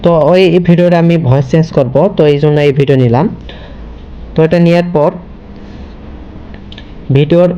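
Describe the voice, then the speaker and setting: A man's voice: on the high side, warm tone, highs cut off, slight background noise. A man hosting a casual step-by-step screen tutorial, linking his sentences repetitively with the same connective word. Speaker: tutorial host.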